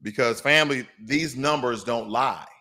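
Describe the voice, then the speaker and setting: Man, American accent, medium pitch, commentary host, didactic, emphatic, walking viewers through data. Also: moderate pace, pushed and bright. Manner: didactic, emphatic, often checking in with "okay" and "right". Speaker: host of a news commentary show. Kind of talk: commentary explaining charts to viewers.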